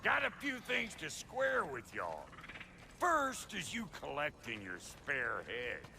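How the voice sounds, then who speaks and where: An actor playing a gruff, cantankerous dwarf blacksmith with a Southern US accent and a gravelly, folksy voice in a video game. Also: male voice, a little high, wildly swooping pitch, slow, background noise, exaggerated voice. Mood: bossy, irritated. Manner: folksy, blunt, bossy. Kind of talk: cinematic video game acting.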